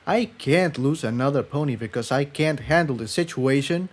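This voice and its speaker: A man voicing an earnest, troubled male pony adventurer in a quiet, serious way for a storybook reading. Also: mid-range voice, animated, normal speed, close to an everyday voice. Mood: troubled confession.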